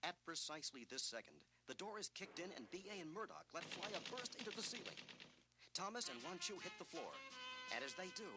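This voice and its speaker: Animated male narrator over loud music. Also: fairly high pitch, poor audio. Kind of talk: dramatic scripted narration for a 1980s children's adventure record.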